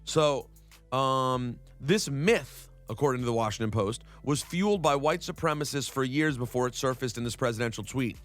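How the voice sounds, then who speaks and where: A man's voice: mid-range voice, normal speed, fairly bright tone, faint music behind. Opinionated male radio co-host. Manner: opinionated, reads news aloud with commentary. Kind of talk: casual radio talk.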